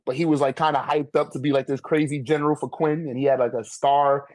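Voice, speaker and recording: Slangy American man, higher-pitched voice. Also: fairly even intonation, quick pace, a little mellow, no room sound. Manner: slangy, profane, repeats "like" and "you know what I'm saying". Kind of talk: casual chat, fan debate.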